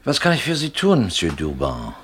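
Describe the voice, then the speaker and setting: An actor playing a brisk, businesslike police inspector in a radio crime play. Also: male voice, sits low, huge pitch swings, slight background noise.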